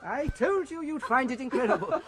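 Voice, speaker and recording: A man's voice: extremely high pitch, expressive, normal speed, over a noisy crowd. An actor playing a pompous male director in a radio drama, lecturing a group of students. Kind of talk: BBC radio drama acting.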